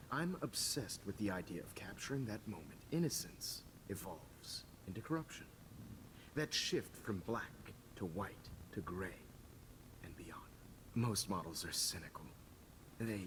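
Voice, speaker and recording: An actor playing a pompous, calmly menacing photographer villain in a video game, gloating softly over noisy captured audio. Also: male voice, sits low. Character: smug, calmly menacing photographer kidnapping his students.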